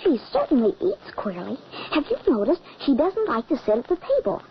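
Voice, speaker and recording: Uneasy young boy, high lively voice, earnest storyteller, old radio drama. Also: brisk, a crowd in the background. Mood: puzzled, uneasy. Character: young boy recounting his cruel stepmother, anxious and puzzled. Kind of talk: 1940s radio drama acting and narration. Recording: muffled, hissy old radio recording.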